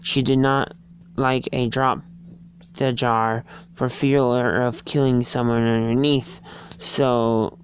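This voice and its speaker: A person giving an amateur dramatic reading of a storybook, halting and stumbling over the words. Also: mid-range voice, flat delivery, clean tone. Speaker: reader.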